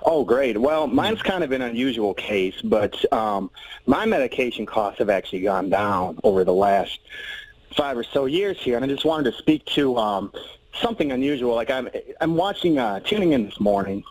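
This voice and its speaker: A man's voice: medium pitch, sing-song, moderate pace, darkish tone, low-quality recording. A man calling a TV call-in show, speaking hesitantly and in a falsely earnest tone. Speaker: caller.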